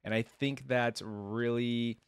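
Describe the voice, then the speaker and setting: A man's voice: medium pitch, fairly even intonation, slow, gravelly, clean tone, no echo. A man giving casual reaction commentary as a video host, thinking aloud in an informal, rambling way.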